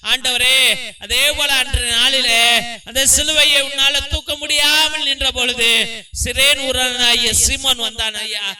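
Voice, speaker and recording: Male preacher, very high and piercingly bright, wailing intercessory prayer. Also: smooth. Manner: wailing, pleading, repetitive lament.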